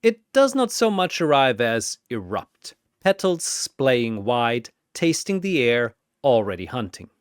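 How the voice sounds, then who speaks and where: A man narrating a scripted fantasy story in a theatrical, deliberate manner. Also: medium pitch, sing-song, smooth, no room sound, studio quality.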